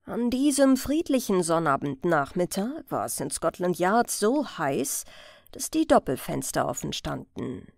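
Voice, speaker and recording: Slow, expressive woman narrating an audiobook. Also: lowish voice, vocal fry, no room sound, clean studio sound. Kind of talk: scripted story narration.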